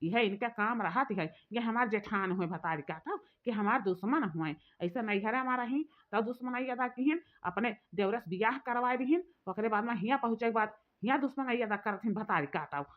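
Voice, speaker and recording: A woman's voice: a little high, animated, normal speed, hardly any pauses, close to an everyday voice. Woman voicing a fiery Awadhi sister-in-law. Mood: complaining. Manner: complaining, cursing, sharp-tongued. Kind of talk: cartoon voice acting. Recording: dull lo-fi recording.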